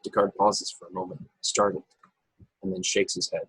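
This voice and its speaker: A man's voice: low-pitched, completely flat delivery, normal speed, fairly bright tone, breathy edge, no echo, low-quality recording. A man narrating screenplay stage directions in a table read over a video call.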